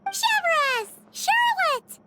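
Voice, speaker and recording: A woman voicing a tiny, childlike fairy companion in a video game, squeaky, perky and eager, with a caring streak. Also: big pitch swings, drawn-out words, very noisy, heavily acted. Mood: excited. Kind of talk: video game character dialogue.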